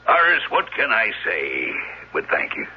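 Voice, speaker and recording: Glib old charmer, real raspy n low, super animated, theatrical, old dull radio recording. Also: male voice, unhurried, full character voice. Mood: jaunty. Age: older. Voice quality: raspy, husky. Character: jaunty old drifter, quipping, wine-loving bon vivant. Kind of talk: old-time radio drama acting.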